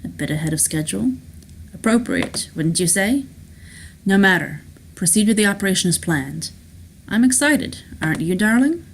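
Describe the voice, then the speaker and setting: A woman's voice: medium pitch, animated, moderate pace, creaky, slight background noise, low-quality recording. A woman voicing a suave, unflappable rich male villain who savors his words theatrically in a tabletop game. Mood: smug, amused.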